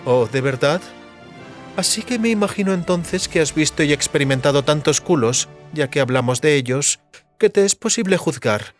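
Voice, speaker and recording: A woman's voice: low voice, lively intonation, normal speed. A haughty sorceress in an audio drama. She is demanding and teasing with her lover, phrasing everything ornately and imperiously, with music playing behind her. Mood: jealous, demanding.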